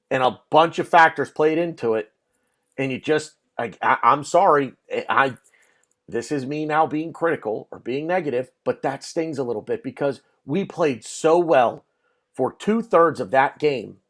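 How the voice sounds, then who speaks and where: A man hosting a casual sports podcast, talking animatedly and repeating words as he starts his sentences. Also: a little high. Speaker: podcast host.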